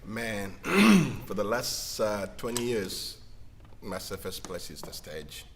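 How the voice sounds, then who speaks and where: Deep-voiced Rwandan man, halting speech. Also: very animated, creaky. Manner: halting, restarts phrases, laughs often. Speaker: singer-songwriter guest. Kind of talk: casual livestream conversation. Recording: faint background noise.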